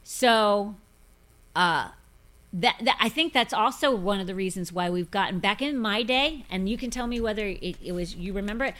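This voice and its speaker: A woman's voice: mid-range voice, moderate pace, a bit bright. Forthright woman in her fifties. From grew up in Pentecostal churches. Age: 50s. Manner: forthright, preachy, emphatic. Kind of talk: casual podcast chat on faith.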